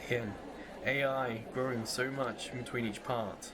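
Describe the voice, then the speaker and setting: Guy doing chill game commentary for his channel, kinda musing and chatty, medium pitch. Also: steady tone, normal speed, a crowd in the background, background noise. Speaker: gaming YouTuber host. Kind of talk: casual let's-play commentary.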